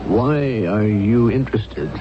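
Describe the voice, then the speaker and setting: An actor in a radio drama playing a composed, quietly suspicious doctor who questions in a measured, deliberate way. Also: male voice, on the low side, animated. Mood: probing, suspicious. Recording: muffled, noisy old radio broadcast recording.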